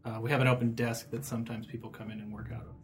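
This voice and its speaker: A man's voice: medium pitch, flat delivery, very fast, slight background noise. Matter-of-fact male designer guest. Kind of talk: casual interview chat.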